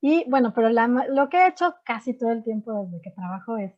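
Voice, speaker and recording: Mexican lady teacher chatting, lots of este and pues, kinda high voice, muffled lo-fi sound. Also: expressive, brisk. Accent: Mexican Spanish. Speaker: guest, chemistry teacher. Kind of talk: relaxed radio interview chat.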